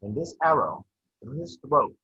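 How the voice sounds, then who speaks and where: A man's voice: medium pitch, very animated, moderate pace, sharp tone, breathy edge, full character voice. A man playing a blunt, world-weary police detective in an amateur table read over a video call. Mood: hard-boiled. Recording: muffled video-call audio.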